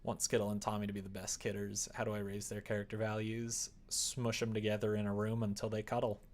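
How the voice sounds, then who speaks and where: A man's voice: fairly low pitch, monotone, a little fast, a little mellow. A man casually livestreaming as he works on a visual novel, rambling and muttering to himself with joking asides. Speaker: live streamer developing a visual novel. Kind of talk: casual livestream chat while working.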